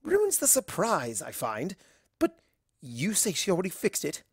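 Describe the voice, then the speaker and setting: Man as animated, eccentric pony doctor. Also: high voice, a little slow, husky, no room sound, studio quality, voice pushed up, full character voice. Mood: puzzled, deflated. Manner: theatrical, earnest, expressive. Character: eccentric, dramatic male pony doctor and time traveller. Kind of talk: storybook character dialogue.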